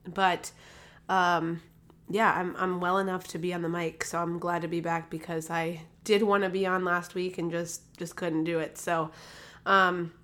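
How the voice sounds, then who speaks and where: A woman's voice: fairly low pitch, flat delivery, unhurried, fairly bright tone. A woman hosting her own health podcast, talking casually with lots of fillers and restarts, a little nasal from a recent cold. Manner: chatty, rambling with many fillers and restarts. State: slightly nasal, recovering from a cold. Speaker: podcast host, holistic health practitioner. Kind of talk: casual solo podcast talk. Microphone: podcast microphone.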